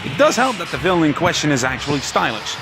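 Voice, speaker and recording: A man's voice: a little high, big pitch swings, fast, music in the background. A man giving casual commentary as a guest on a video.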